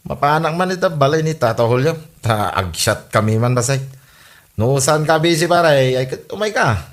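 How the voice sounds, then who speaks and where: A man acting out an easygoing village husband in a comedy audio drama, bored at home and keen on a drink. He speaks with an Ilocano accent at a middling, everyday pitch. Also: lively intonation, normal speed, natural voice. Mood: cheerful, inviting. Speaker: actor in comedy radio drama.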